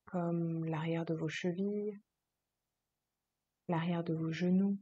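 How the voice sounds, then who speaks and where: A woman leads a guided relaxation as a yoga teacher. Her voice is slightly low, very mellow and soft, with a breathy, husky edge. She gives each instruction slowly and soothingly, leaving long pauses between phrases. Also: steady tone, muffled recording.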